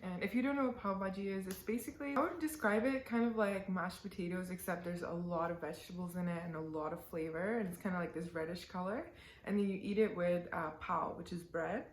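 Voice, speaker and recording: A woman's voice: on the low side, fairly even intonation, a little fast, slight background noise. Chatty young woman vlogging in an echoey room. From born in the UAE, raised in Canada. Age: 20s. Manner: chatty, giggly, lots of fillers. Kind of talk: casual Q&A talking to camera. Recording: roomy echo.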